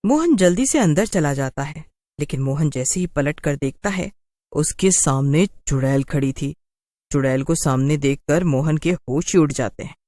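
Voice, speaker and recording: A woman's voice: sits low, lively intonation, moderate pace, warm tone, no echo. A woman narrating a scripted cartoon story in a dramatic storytelling style.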